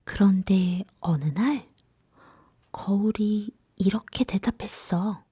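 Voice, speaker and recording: A woman storyteller narrating a fairy tale in a gentle, soothing, whispery bedtime style. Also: mid-range voice, takes it slowly, slightly rough, no room sound, clean studio sound.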